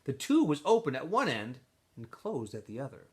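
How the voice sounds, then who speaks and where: A man reading a storybook aloud to students in an animated, expressive way, with casual asides to his listeners. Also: medium pitch, normal speed.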